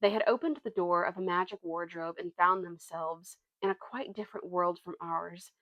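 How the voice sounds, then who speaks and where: A woman reading a children's novel aloud as expressive storytelling narration, now and then correcting a slip. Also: fairly low pitch, moderate pace, no echo, poor audio.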